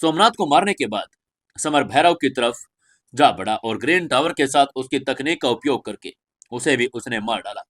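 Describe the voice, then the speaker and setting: A man's voice: mid-range voice, expressive, normal speed, fairly bright tone. A man narrating a serialized fantasy audio story as its host, in a scripted dramatic style.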